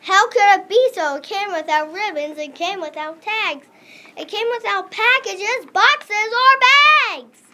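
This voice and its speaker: Child playing a high-voiced scheming storybook grump. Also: unhurried, heavy background noise. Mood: puzzled. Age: child performer. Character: sly, gleeful, mean green grump creature.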